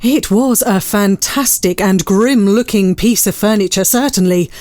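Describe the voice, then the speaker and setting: A woman narrating a classic story in a measured, literary storytelling manner. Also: mid-range voice, a little mellow, no echo.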